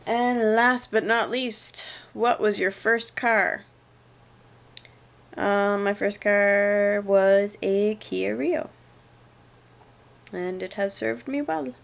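Young woman, stuffy cold voice, gravelly fry, laid-back vlog chat, camera at arm's length, living room sofa. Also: medium pitch, fairly even intonation, extremely slow, pauses often. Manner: chatty and laid-back, trails off quietly at the ends of sentences. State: stuffy nose from a cold, tired. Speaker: vlogger. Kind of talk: casual vlog answering tag questions. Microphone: webcam or handheld camera at arm's length.

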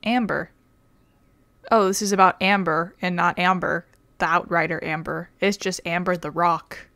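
A woman's voice: on the low side, steady tone, moderate pace, pushed and bright, dry recording. A woman doing casual let's-play commentary, rambling and self-deprecating, on a close microphone. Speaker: video game streamer.

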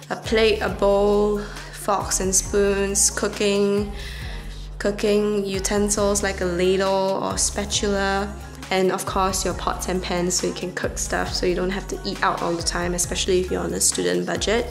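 A woman's voice: medium pitch, monotone, unhurried, vocal fry. Young woman from Singapore, casual vlogger giving a tour, handheld camera, faint music underneath. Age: young adult. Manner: chatty, explains as she walks around pointing things out. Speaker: vlogger, university student. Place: student flat kitchen and bedroom, indoors. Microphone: handheld wide-angle camera at arm's length.

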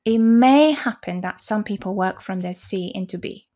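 A woman's voice: mid-range voice, moderate pace, dark tone. Polish lady, 40s, talking through her job on a video call, lots of um and restarts. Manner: explanatory, frequent restarts and fillers, occasional laughs. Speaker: conference interpreter, guest. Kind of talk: casual interview over video call. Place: small room indoors. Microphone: video call, desk mic.

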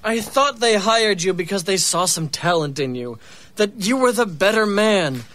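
A man's voice: high-pitched, animated, moderate pace, a bit bright, dry recording. An actor playing a bitter, intense man accusing his rival in an audio drama. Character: bitter ousted male radio host Len, accusing. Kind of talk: radio drama acting.

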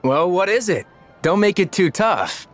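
A friendly teenage boy, casual and easygoing. His high voice takes its time, with light music behind. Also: big pitch swings, unhurried. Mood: good-humored. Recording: music under the dialogue.

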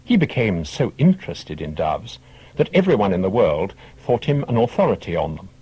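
A man with a husky voice reading short teaching tales as scripted audiobook narration. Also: fairly low pitch, sing-song, moderate pace, darkish tone, poor audio.

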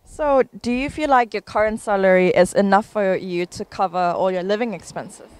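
A woman's voice: mid-range voice, normal speed, darkish tone, smooth. A woman interviewer asking polite, probing questions.

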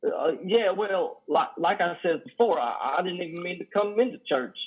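Man playing a high-pitched, halting lonely trucker. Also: animated, husky. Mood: nervous, apologetic. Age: 30s. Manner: halting, stammering, nervous. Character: lonely, awkward, self-deprecating trucker, plain-spoken and folksy. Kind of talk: play reading over video call. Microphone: headset on a video call. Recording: dull video-call audio.

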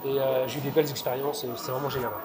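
A man's voice: medium pitch, flat delivery, quick pace, over music, low-quality recording. A man in his thirties talking casually about street flirting, hesitant and full of fillers and restarts, recorded outdoors on a city street. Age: 30s. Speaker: street pickup learner. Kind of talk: street approach and casual debrief. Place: busy city street outdoors, traffic and passersby. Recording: outdoor street crowd behind.

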